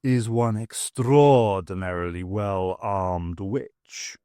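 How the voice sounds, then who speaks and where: A man voicing a sly male companion who speaks in a slow, low purr. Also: mellow, clear, resonant tone, no echo, clean studio sound. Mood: wry.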